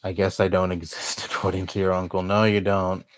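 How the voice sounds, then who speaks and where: Young male streamer, low-pitched, blunt chatty rambling, dry close mic. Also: little pitch movement, fairly quick, dry recording. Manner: blunt, swears often, rambling opinions. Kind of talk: casual live-stream chat.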